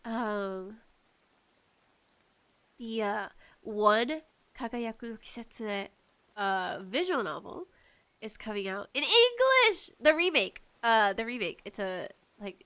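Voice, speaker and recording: A woman with a bright, smooth voice hosts a casual solo chat. She takes her time and rambles along, putting enthusiastic swings of emphasis on her words. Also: on the high side. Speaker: podcast host talking about video games.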